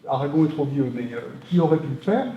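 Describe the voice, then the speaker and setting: A man's voice: a little high, lively intonation, fast. A man in the audience asking a long, rambling question from the floor, hesitating with many fillers. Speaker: audience member. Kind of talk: question from the floor at a public discussion. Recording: echoey, dull hall sound.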